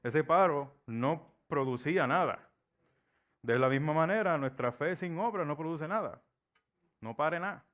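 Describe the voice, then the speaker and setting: A man's voice: fairly high pitch, animated, measured pace, fairly bright tone. A middle-aged man from Puerto Rico with a Puerto Rican Spanish accent, preaching a sermon and telling stories, acting out the voices he quotes. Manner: storytelling, acts out quoted voices.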